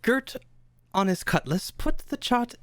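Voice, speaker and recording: A narrator reading a radio play, somewhat haltingly, often tacking "eh" onto sentences. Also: medium pitch, expressive, no room sound, clean studio sound.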